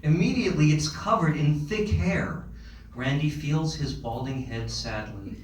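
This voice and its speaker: A man's voice: on the high side, measured pace. Echoey male narrator reading a screenplay. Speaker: narrator reading stage directions. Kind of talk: scripted narration at a comedy table read. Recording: echoey room, distant mic, noisy.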